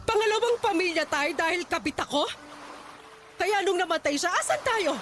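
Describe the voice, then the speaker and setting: A woman's voice: very high-pitched, expressive, moderate pace, fairly bright tone, a little hiss or hum. A bitter, wounded mother. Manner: emotional, reproachful, rising to heated outbursts. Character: bitter hurt middle-aged mother scolding her daughter. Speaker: voice actor in a radio-style drama.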